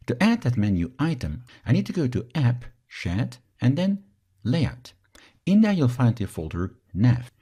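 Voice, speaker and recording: A man from Belgium, a native Dutch speaker, explains each step as an instructor in a software screencast tutorial. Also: medium pitch, breathy edge.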